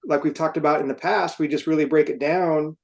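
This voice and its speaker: A man's voice: a little high, fairly even intonation, a little fast, gravelly. A man co-hosting an instructional podcast, explaining methodically and step by step in an informal way. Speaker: podcast co-host, firefighter and trainer.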